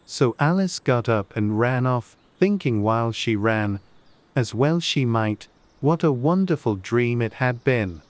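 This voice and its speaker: A man's voice: mid-range voice, animated, measured pace, no echo. A man narrating a classic storybook as a scripted audiobook.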